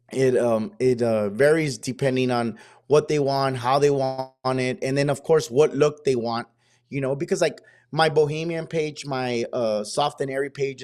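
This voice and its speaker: A man's voice: mid-range voice, darkish tone. A man, a wedding photographer guest, talking casually on a podcast in a laid-back, slangy way. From Mexico. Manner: laid-back, slangy, says dude and bro.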